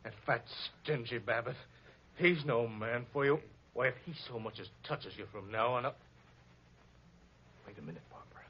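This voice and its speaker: An actor playing a hot-headed, passionate and jealous sculptor in an old-time radio melodrama, with a fervent, impulsive delivery. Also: male voice, mid-range voice, moderate pace, long pauses, lo-fi sound, slight background noise, lightly acted. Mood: angry, jealous.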